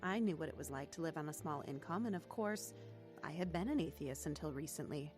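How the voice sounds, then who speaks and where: A woman's voice: low voice, lively intonation, fairly quick, music in the background. An actress playing an earnest young woman who reflectively narrates her testimony in a radio drama.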